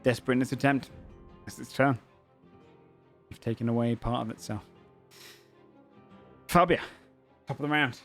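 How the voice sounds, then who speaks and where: A man running a tabletop game as game master, calmly narrating what happens and calling out rolls. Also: mid-range voice, normal speed, pauses often, faint music behind.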